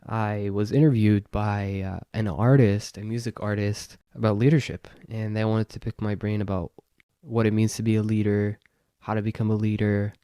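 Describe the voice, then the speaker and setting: Man from syria, podcast host, thoughtful measured speech, low mellow voice. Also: flat delivery, clean tone, no room sound, studio quality. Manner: thoughtful, measured, with frequent ums. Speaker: podcast host being interviewed. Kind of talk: reflective conversational interview.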